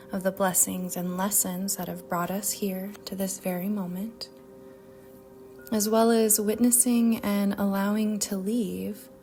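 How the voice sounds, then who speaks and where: A woman guiding a meditation in a soft, whispery-edged voice, speaking gently and soothingly with long pauses. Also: mid-range voice. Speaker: yoga nidra meditation guide. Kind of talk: guided meditation narration. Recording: soft music bed behind voice. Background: music.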